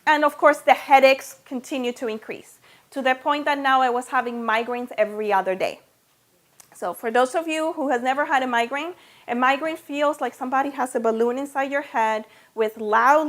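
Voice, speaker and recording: High-voiced woman with a Venezuelan Spanish accent. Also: fairly bright tone. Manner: conversational, checks in with listeners with "okay?" and "right?". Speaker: natural health practitioner giving a talk. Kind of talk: informal personal talk to a small audience.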